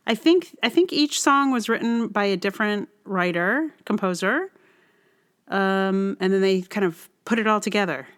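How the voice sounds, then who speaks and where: A woman's voice: mid-range voice, normal speed, fairly bright tone, smooth. A woman talks casually as a podcast host, as if thinking aloud before a performance. She is reflective and hesitant, often letting a thought trail off or starting it again. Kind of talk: casual rambling introduction to a song.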